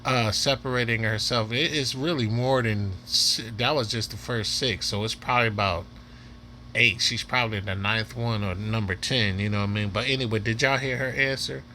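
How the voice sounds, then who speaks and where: A man's voice: mid-range voice, moderate pace, sharp tone. A man commentating casually off the cuff as a reaction host, repeating himself as he urges viewers to watch. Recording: faint background noise.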